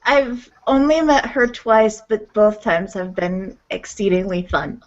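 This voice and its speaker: A woman's voice: medium pitch, steady tone, a little slow. A woman speaking casually in character in a tabletop roleplaying game, polite and a little hesitant. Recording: dull, lo-fi audio.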